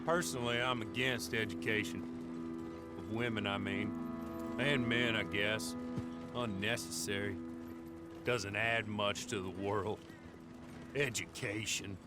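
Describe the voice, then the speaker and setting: Man voicing a gruff, bossy Old West guard. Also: fairly low pitch, steady tone, unhurried, long pauses, loud music behind the voice, lightly acted. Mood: grumbling. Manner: gruff, opinionated, swearing. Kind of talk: western video game acting.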